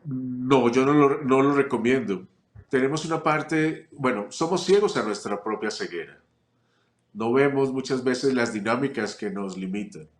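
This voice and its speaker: A man's voice: mid-range voice, measured pace. Reflective man in an echoey room. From Chile. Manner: reflective, many fillers and restarts. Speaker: family constellations teacher. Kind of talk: relaxed interview conversation.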